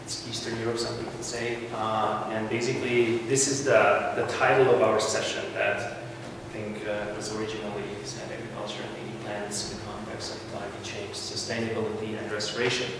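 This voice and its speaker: A man with a Hungarian accent giving a conference presentation as a university lecturer, speaking haltingly and often correcting himself. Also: fairly low pitch, little pitch movement. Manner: halting, with frequent "uh" fillers and self-corrections. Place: large lecture hall. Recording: echoey hall, mic far off, noisy.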